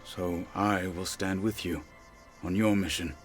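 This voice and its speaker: A loyal young game warrior talks slowly and gravely in a very low voice. Soft music plays beneath his lines. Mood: resolute. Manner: steady, grave, resolute. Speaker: warrior marshal.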